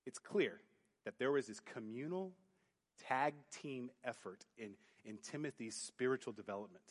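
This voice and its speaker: Booming preacher man in a reverberant church. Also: normal speed. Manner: booming, animated preaching that drops to quiet emphasis. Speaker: youth pastor. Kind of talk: sermon. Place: church sanctuary. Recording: long hall reverb. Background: hall reverb.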